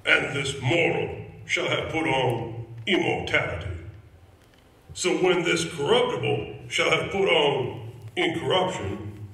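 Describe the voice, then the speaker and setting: A man's voice: mid-range voice, a bit bright. An actor playing a solemn clergyman reciting a funeral service on stage in a grave, declamatory manner. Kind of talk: theatrical scripture recitation. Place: indoor stage, recorded from afar. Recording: cavernous echo, distant mic.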